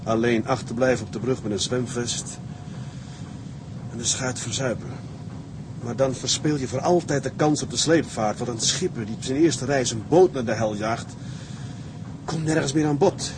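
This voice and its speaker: An actor playing an earnest, dutiful young first mate in a radio drama, measured, grave and respectful. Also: male voice, close to an everyday voice. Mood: respectful urging. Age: young adult. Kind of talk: dramatic radio play acting. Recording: engine rumble behind the voice.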